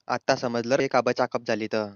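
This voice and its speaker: A man plays a brash, lecherous village brother-in-law in a cartoon. He banters gruffly in rural Ahirani from north Maharashtra, teasing as he goes. The voice is dry and slightly dull, like a lo-fi track. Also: medium pitch, flat delivery, moderate pace, no room sound, lightly acted. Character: lecherous, brash brother-in-law visiting his wife's family. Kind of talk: crude comedy cartoon dialogue.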